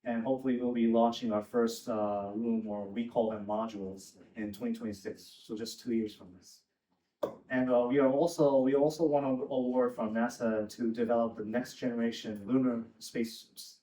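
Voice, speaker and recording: A man from Japan introducing himself at a panel event, easygoing and chatty, with plenty of "uh"s and light laughs. His voice sits at an ordinary middle pitch. It comes from some way off the mic, with the echo of a large room around it. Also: little pitch movement, normal speed, dark tone. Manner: friendly, laughs between phrases, many fillers. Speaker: panelist, sales manager. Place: event space with audience. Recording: roomy event space, distant mic.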